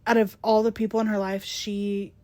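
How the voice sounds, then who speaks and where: A young woman with a slightly phlegmy voice co-hosting a casual book podcast, blunt and quick to swear. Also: mid-range voice, level tone, mellow. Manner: blunt, swears freely, laughs easily. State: a bit phlegmy. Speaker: podcast co-host. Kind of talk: casual book club chat.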